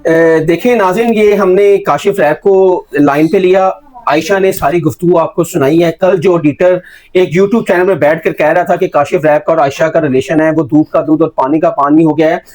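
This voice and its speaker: High-voiced Pakistani man interviewing insistently. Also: fairly even intonation, normal speed, a little mellow, low-quality recording. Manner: insistent, repeats questions. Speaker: interviewer and host. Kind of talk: probing street-level YouTube interview.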